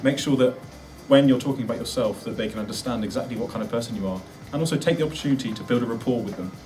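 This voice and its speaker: A man's voice: mid-range voice, rapid-fire, far from the mic, over music. A man giving an instructional presentation, as a presenter of practical advice. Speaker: presenter giving interview tips.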